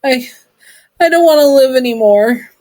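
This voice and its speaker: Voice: medium pitch, expressive, moderate pace, a bit bright, voice pushed up, lightly acted. A storyteller voicing a young woman close to tears, who speaks in a small, shaky, self-doubting way. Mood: despairing.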